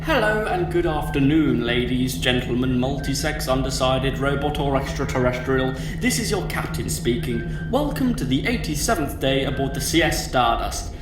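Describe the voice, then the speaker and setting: A man's voice: mid-range voice, expressive, moderate pace, few pauses, over music, natural voice. A man playing a genial but easily exasperated starship captain in an audio drama, with a hearty, formal manner. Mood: formal, welcoming. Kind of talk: scripted fan audio drama acting.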